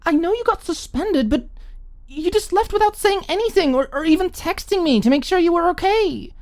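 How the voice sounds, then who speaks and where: Woman acting a frazzled, high-pitched young roommate. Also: animated, normal speed, a little mellow. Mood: indignant, worried. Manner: shrill, indignant, pleading.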